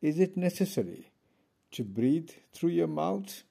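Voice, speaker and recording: A man from India giving a pointed, measured speech from a conference podium. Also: on the high side, big pitch swings, creaky, warm tone, husky. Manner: measured, pointed, with pauses between phrases. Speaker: conference speaker.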